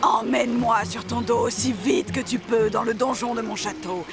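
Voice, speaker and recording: A woman's voice: on the high side, fairly even intonation, normal speed, loud music behind the voice, close to an everyday voice. A woman voicing a wicked sorceress in a French-dubbed cartoon, imperious, gloating and mock-sweet. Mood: gloating, commanding. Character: wicked gloating sorceress, commanding her little flying mount.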